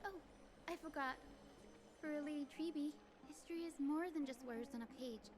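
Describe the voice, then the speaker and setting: A woman's voice: sits high, normal speed, close to an everyday voice. A woman playing a sweet, nurturing young woman, courteous and earnest, in a video game. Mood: encouraging. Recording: game music playing behind the voice.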